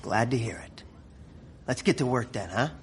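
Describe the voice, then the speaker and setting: A man's voice: medium pitch, lively intonation, normal speed, a little hiss or hum, natural voice. An actor playing a blunt, blustering middle-aged American general in a radio drama, folksy and profane, tripping over his words. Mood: brusque. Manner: stammering, exasperated, profane, folksy.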